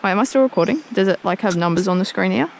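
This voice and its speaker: A young man hosting a fishing vlog, speaking in brief, relaxed remarks with quiet enthusiasm, recorded outdoors on an action camera. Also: high voice, fast, vocal fry, a little hiss or hum. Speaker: fishing channel host. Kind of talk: casual fishing vlog chat. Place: outdoors on a creek bank. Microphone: wearable action camera.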